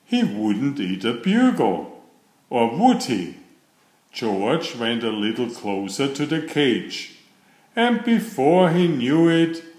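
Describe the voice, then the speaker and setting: A man narrates a children's picture book aloud. His delivery is slow and deliberate, with expressive, wide-ranging pitch. The sound is roomy and echoing. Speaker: storybook reader.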